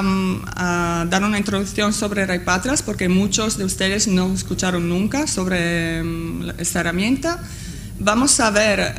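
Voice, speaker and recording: A woman's voice: medium pitch, fairly bright tone, slight background noise. A woman in her thirties, a native Italian speaker, presenting a tutorial with many hesitations and fillers at a conference panel table, using a table microphone. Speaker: course instructor.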